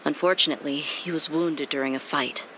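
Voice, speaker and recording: A woman's voice: low voice, fairly even intonation, brisk, background noise. An actress playing a brash, outspoken young female mercenary in a video game, talking casually and bluntly. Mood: casual, reporting. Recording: game music behind.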